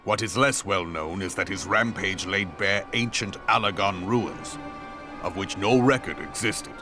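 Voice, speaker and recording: An actor playing a stern, seasoned male general who speaks gravely in formal, old-fashioned phrasing, in a game cutscene. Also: deep voice, unhurried. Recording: music score underneath.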